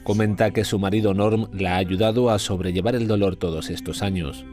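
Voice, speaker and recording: A man reading scripted news narration as a reporter, in a measured, even delivery. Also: lowish voice. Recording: voice-over with clear music behind.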